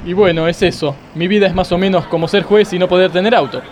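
An actor with an Argentine accent playing a melodramatic, plaintive judge in a comic radio play. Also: male voice, sits high, steady tone, quick pace, darkish tone, slight background noise, lightly acted. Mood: indignant, upset. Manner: plaintive, theatrical. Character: woeful, melodramatic male judge lamenting his petty troubles. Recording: radio sketch with music underneath.

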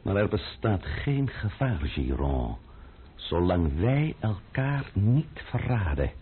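A man's voice: on the low side, very animated, narrow, tinny audio, a little hiss or hum. A male actor playing a cunning, menacing, mocking man of power in a theatrical radio play. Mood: coolly menacing. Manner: sardonic, theatrical, deliberate.

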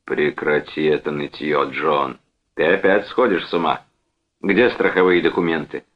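Bullying brother-in-law played by a male narrator, menacing, sarcastic, loud-mouthed storybook villain on dull audio. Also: very deep voice, steady tone, moderate pace. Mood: dismissive. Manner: blustering, threatening, sarcastic.

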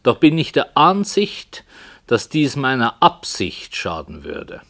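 A man playing a wry, thoughtful radio play author who speaks deliberately in scripted 1960s radio dialogue. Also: mid-range voice, huge pitch swings, gravelly, fairly bright tone, heavily acted. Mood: measured, explaining.

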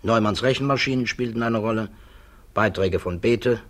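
A male actor in a 1960s German radio drama playing a troubled, thoughtful physicist who testifies in a hesitant, measured way. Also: lowish voice, close to an everyday voice. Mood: pensive, troubled.

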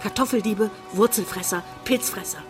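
An actress playing a bitter, pleading village hotel owner in a German radio drama, intense and reproachful. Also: female voice, mid-range voice, moderate pace, music in the background, lightly acted.

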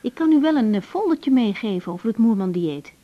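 A woman's voice: on the high side, big pitch swings, brisk. A woman playing a sympathetic, matter-of-fact young doctor in a Dutch radio drama, practical and reassuring. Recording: dull 1980s radio recording.

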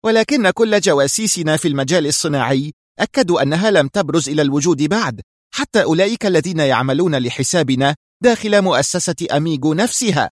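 A tense female spy from a thriller audiobook, as a male narrator puts her on. The voice is raised high and argues back sharply, with a defiant, nervy edge. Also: expressive, fast, no echo, clean studio sound. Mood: irritated, defensive.

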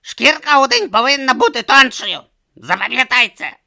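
A man's voice: very high voice, expressive, moderate pace, creaky, bright, forceful tone, pitched above the performer's own voice, full character voice. A man voicing a bossy, grumpy male god trapped as a tortoise, barking impatient orders.

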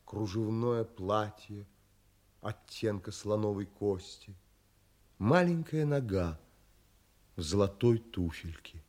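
An actor playing a reserved, romantic sea traveller in an audio drama, thoughtful and theatrical. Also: male voice, lowish voice, slow pace, pauses often, a little mellow. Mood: wistful. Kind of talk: audio drama acting and narration.

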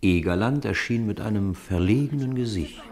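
A man narrating a 1950s radio play, telling a snowball fight with rising excitement. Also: lowish voice, moderate pace. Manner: lively storytelling, rising with the fight. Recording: old radio play with crowd noise behind.

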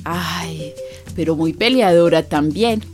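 Folksy colombian neighbour woman, slow and confiding, gossipy storyteller in a radio drama. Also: on the low side, music in the background. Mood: fond, confiding.

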